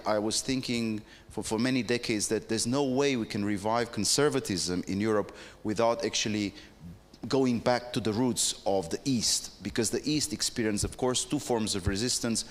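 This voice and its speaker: A man speaks reflectively on a conference panel, often hesitating and restarting his phrases. His voice is somewhat low, and it carries a long reverb through the hall.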